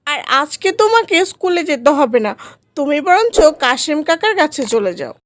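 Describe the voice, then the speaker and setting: High-pitched scheming stepmother played by a woman. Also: big pitch swings, normal speed, a bit bright, dry recording. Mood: coaxing. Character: scheming, cruel stepmother, bossy and coaxing. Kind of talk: cartoon story voice acting.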